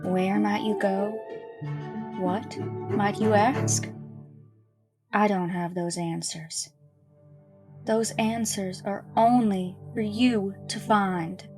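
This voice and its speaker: An actress playing a pious, calmly menacing schoolteacher in a horror audio drama, preaching in a measured way. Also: female voice, medium pitch, lightly acted. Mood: solemn, preachy. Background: music.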